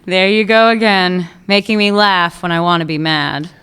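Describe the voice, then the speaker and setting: A woman's voice: lowish voice, fairly even intonation, moderate pace, fairly bright tone, smooth, natural voice. A woman playing a playful, dreamy young lover in a flashback of a radio play. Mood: wistful. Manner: playful, wistful.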